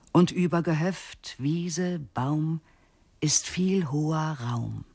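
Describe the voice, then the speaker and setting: A dreamy river spirit reciting poetry, breathy husky voice, really slow and solemn. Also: male voice, fairly high pitch, heavily acted. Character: dreamy lyrical voice of a river awakening to itself. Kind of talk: poetry recitation in a radio play.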